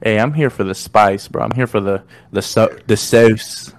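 A young man whose first language is Spanish, hosting a casual podcast chat in slangy, animated talk, often saying "you know what I'm saying". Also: fairly low pitch, normal speed. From Mexican American. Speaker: podcast host.

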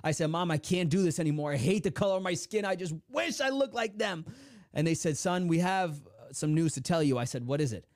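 A young adult man from the United States, a motivational speaker telling his life story in an interview, acting out the voices of his family with big swings in pitch. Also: a little fast, no echo, studio quality. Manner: animated storyteller, acts out quoted dialogue with big pitch swings.

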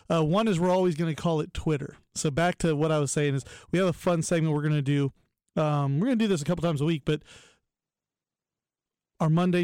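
A man's voice: a little high, a little mellow, clear, resonant tone, no echo, studio quality. A man hosting a casual sports podcast, talking in a playful, teasing and enthusiastic way. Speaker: podcast host.

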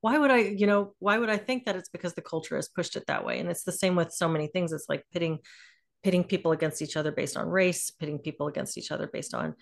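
A woman's voice: lowish voice, animated, quick pace, vocal fry. A woman hosting an interview, talking casually and hesitantly as she searches for words, with many fillers. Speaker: interviewer, host.

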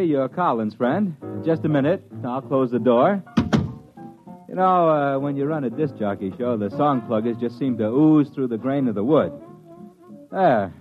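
A man's voice: mid-range voice, dull audio. A man playing a hip, laid-back American disc jockey who chats in beatnik jive in a 1950s radio drama. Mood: breezy, welcoming. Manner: laid-back hipster, hesitant drawn-out chattiness. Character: hip, jazzy male disc jockey spinning a yarn. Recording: thin old radio recording, music behind. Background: music.

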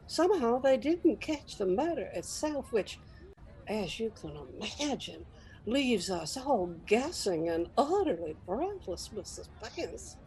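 High, dramatic, folksy fan lady. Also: a little mellow, full character voice, rough recording. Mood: condescending. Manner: breathless, folksy, exaggerated drawn-out delivery. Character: gullible, excitable old Southern soap opera fan lady. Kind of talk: comedic radio sketch acting.

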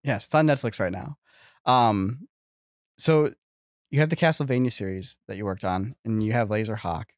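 Slightly low-voiced man, casual host. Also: normal speed, no room sound, studio quality. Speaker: podcast host. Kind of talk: casual conversational interview.

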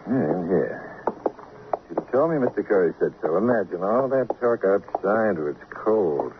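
An actor playing a glib, eccentric, well-paid lawyer in an old radio crime drama, fussy, whimsical and smugly evasive. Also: male voice, low-pitched, animated, moderate pace. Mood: ingratiating. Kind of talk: mid-century radio crime drama acting. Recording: tinny, hissy old radio recording.

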